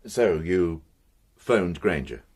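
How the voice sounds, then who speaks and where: An actor playing a probing police interrogator who asks terse, measured questions in a radio crime drama. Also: male voice, deep voice, animated, darkish tone, husky, heavily acted.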